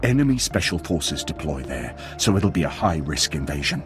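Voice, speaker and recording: Game role: rattled, dutiful male intelligence agent, clipped and deferential, very lively intonation. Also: a bass voice, normal speed, over music. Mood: earnest, deferential. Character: rattled, earnest male military spy for the Sith. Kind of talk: video game cutscene acting.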